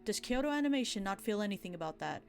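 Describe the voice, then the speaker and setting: A woman's voice: mid-range voice, lively intonation, rapid-fire, creaky, soft background music. A woman narrator voicing a self-pitying, defiant male defendant in a true crime documentary.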